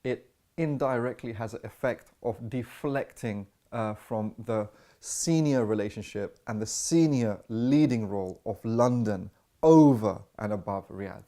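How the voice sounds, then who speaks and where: A man's voice: on the low side, a little slow, mellow. A man giving argumentative political commentary, emphatic and given to restating his points.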